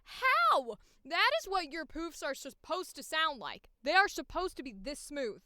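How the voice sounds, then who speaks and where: A woman's voice: high-pitched, sing-song, unhurried, pushed and bright, no echo, studio quality. A woman streamer and voice actor chatting casually as she works, half to herself, playful and free with swearing. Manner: talks to self, swears freely, playful. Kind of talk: casual livestream chat while editing audition takes.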